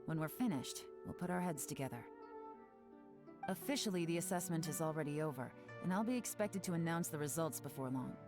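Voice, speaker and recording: An actress voicing a cool, shrewd, commanding female investigator in a video game, measured and composed. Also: deep voice, lively intonation. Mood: composed, businesslike. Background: game music.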